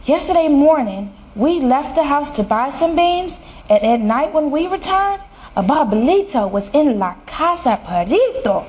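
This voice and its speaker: A woman's voice: sits high, big pitch swings, unhurried, darkish tone, slight background noise. A woman voicing a small, earnest male Chihuahua pal in a children's storybook. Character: small, easygoing male Chihuahua pal telling his troubles.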